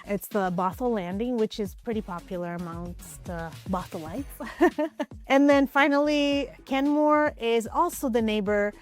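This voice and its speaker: A woman from Ukraine, a real estate agent, answering interview questions in a relaxed, conversational way, often hedging with "I would probably say.". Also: fairly high pitch, a little slow, soft background music. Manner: relaxed, conversational, with frequent hedges.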